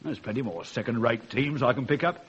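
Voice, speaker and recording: An actor playing a cold, ruthless, middle-aged British ex-convict and master thief in a vintage radio crime drama, commanding and scornful in an articulate way. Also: male voice, medium pitch, a little fast, lightly acted. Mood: menacing, gloating. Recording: dull, noisy old radio recording.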